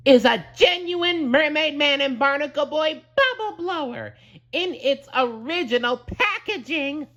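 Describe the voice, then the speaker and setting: An excitable little cartoon sponge, super bright and squeaky high, gushes about everything. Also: male voice, unhurried, exaggerated voice. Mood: awed, thrilled. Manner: gushing, earnest, exclaiming. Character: excitable childlike male cartoon sea sponge.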